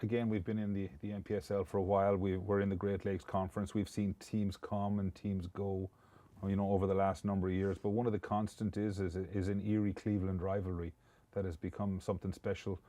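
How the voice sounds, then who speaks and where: A man with a low, mellow voice hosts a casual podcast interview. He talks in a chatty, rambling way, often restarting his sentences. The sound is close and dry. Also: flat delivery, fairly quick, no room sound. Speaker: podcast host.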